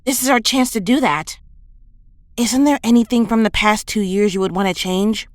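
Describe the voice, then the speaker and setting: A woman's voice: mid-range voice, moderate pace, dry recording, close to an everyday voice. A woman acting an earnest, idealistic young superhero in an audio drama, reflective and sincere. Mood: earnest, pleading.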